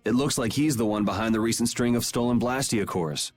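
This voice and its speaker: A man's voice: mid-range voice, brisk, a little mellow, natural voice. A man voicing a cool, level-headed young swordsman in an anime video game, casual and direct. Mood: cool, measured. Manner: casual, laid-back, direct. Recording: background music behind the voice.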